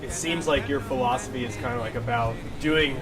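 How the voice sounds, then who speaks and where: A man's voice: mid-range voice, fairly quick. A man hosting a food show, moving between scripted narration and casual interview talk.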